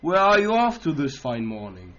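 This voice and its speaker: A man's voice: fairly high pitch, huge pitch swings, normal speed, a bit bright. A man voicing a sly male wolf who talks in a falsely polite, coaxing way, in a dull lo-fi recording.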